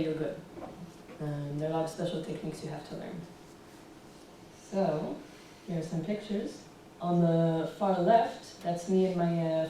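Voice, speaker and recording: A young American woman giving a relaxed public talk with slides, often pausing with "um". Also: low-pitched. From United States. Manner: relaxed, fills pauses with ums. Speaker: guest speaker. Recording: roomy echo, distant, faint hiss.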